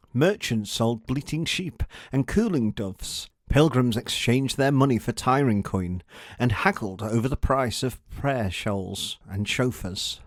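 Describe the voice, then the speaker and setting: A man reads a children's story as a storyteller. His voice sits at a middle pitch, recorded close and dry with no room sound. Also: dry recording. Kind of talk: scripted children's story narration.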